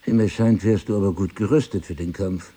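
Middle-aged husband without memory, very husky, low-key mellow tone, searching, wryly ironic, intimate radio play. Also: male voice, fairly quick. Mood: reflective, uneasy. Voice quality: husky, gravelly. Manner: probing, hesitant, dryly self-mocking. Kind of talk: intimate audio drama dialogue.

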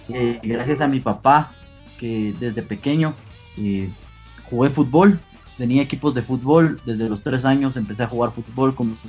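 A young Guatemalan man in his twenties with a slightly husky voice, answering questions in an earnest, halting way. The sound is dull and lo-fi, with faint music underneath. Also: medium pitch, darkish tone. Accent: Guatemalan Spanish. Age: 20s. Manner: earnest, pauses with many fillers. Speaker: guest athlete. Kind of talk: interview answers.

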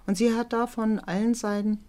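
A middle-aged woman speaking candidly and reflectively as a mother in a documentary interview, somewhat halting and often adding tag questions to seek agreement. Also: on the high side, little pitch movement, darkish tone. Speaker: interviewee, mother of a teenage daughter.

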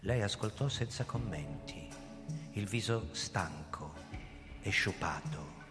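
Low-voiced, driven hunted police chief. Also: male voice, expressive, slow, exaggerated voice. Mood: subdued, tense. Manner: theatrical, swinging from hushed narration to agitated outbursts. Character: hunted middle-aged police chief, driven and desperate, narrating his story. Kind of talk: dramatic radio play narration and acting. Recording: long hall reverb, music underneath. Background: music.

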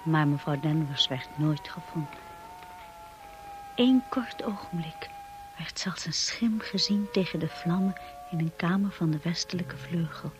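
An actress playing a devoted, wistful young wife in a radio drama, speaking in a measured and solemn way. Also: female voice, lowish voice, music in the background, close to an everyday voice, low-quality recording. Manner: slow, measured, solemn delivery. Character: Mrs de Winter, devoted young wife looking back wistfully. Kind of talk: radio drama acting and narration.

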